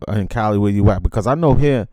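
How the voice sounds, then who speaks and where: A man's voice: fairly low pitch, fast, dark tone, no echo. A man interviewing in a casual, slangy and affirming way, full of enthusiasm. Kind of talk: casual chat.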